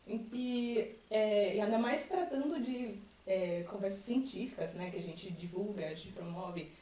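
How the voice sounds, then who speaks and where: A woman's voice: mid-range voice, unhurried, dark tone. A Brazilian woman chatting casually in a group as a member of a student theatre troupe. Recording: roomy, distant mic, faint background noise.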